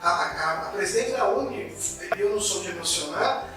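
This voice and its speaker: A man from Goiás in Brazil co-hosting a political talk show, talking in an indignant, halting way with many restarts. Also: high-pitched, animated, very echoey, recorded from a distance. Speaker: political commentary co-host. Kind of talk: casual political discussion.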